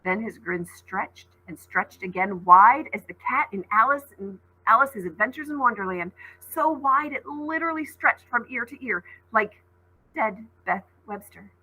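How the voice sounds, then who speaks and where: A woman reading a children's novel aloud as a teacher, in expressive storybook narration. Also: on the high side, measured pace, breathy edge, poor audio.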